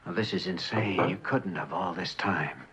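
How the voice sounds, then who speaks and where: An actor playing an earnest, driven scientist, intense and pleading, in mid-century TV drama style. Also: male voice, deep voice, normal speed, noisy background, lightly acted. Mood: shocked. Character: earnest, burdened, driven project scientist and husband. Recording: dull old television soundtrack.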